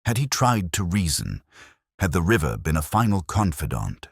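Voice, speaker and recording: A man playing a weary, melancholy police inspector, narrating a detective drama in solemn, measured, literary phrasing. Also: sits low, sing-song, slightly rough, dry recording, studio quality, heavily acted. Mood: brooding, suspicious. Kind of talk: first-person scripted detective drama narration.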